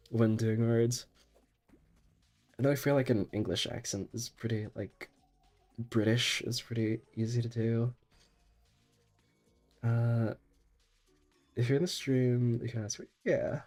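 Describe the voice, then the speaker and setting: Young male streamer, sick, rambling chat, slow pace, medium pitch, faint music. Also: steady tone, darkish tone. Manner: rambling, laughs often, trails off. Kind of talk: casual gaming stream chat.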